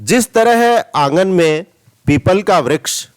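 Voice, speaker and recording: A man narrating a scripted moral story as a storyteller, reading deliberately and expressively. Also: high voice, lively intonation, smooth.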